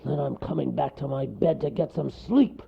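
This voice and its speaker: A man voicing a huge, grumpy bear who wants sleep, with a gruff, growling, exasperated roar in a children's story. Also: mid-range voice, moderate pace, muffled recording, pitched above the performer's own voice, exaggerated voice. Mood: grumpy, forceful. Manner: roaring, exasperated bellowing.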